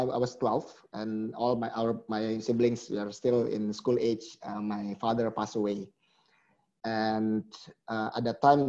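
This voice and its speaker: Soft-toned man, muffled. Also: mid-range voice. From Indonesia. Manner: reflective, hesitant with restarts. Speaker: guest, company chief executive. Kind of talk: conversational interview.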